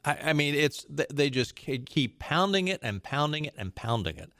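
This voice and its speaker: A male talk show host delivers political commentary with emphasis and a sarcastic edge. His pitch rises and falls a great deal as he jumps from point to point. The recording is dry and close. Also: sing-song, moderate pace, a bit bright, dry recording.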